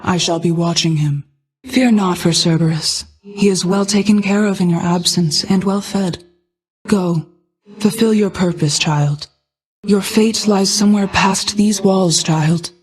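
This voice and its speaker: A woman voicing a regal, ageless, motherly goddess of night in a video game, speaking in formal, measured, archaic phrasing. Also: on the low side, steady tone, natural voice, poor audio. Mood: gentle, solemn. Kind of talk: scripted video game voice acting.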